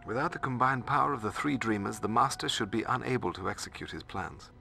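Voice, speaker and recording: A formal, creaky-voiced scholarly mentor. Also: male voice, lowish voice, bright, forceful tone, soft background music. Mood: earnest, instructive. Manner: formal, measured, earnest. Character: proper, cautious middle-aged male scholarly mentor. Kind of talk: video game voice acting.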